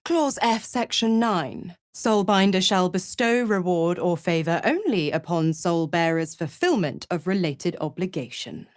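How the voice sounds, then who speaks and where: A woman's voice: lowish voice, expressive, measured pace, few pauses, no echo, studio quality. A woman playing a mocking, scheming female devil in a video game, theatrical, teasing and condescending. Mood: smug, scheming. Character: seductive, mocking, scheming female devil, smugly cruel patron. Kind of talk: video game character acting.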